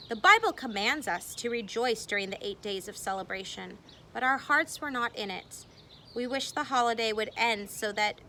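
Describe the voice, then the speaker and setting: A woman reading a memoir aloud expressively, with deliberate phrasing and dramatic emphasis. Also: a little high, sharp tone, slight background noise. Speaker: reader.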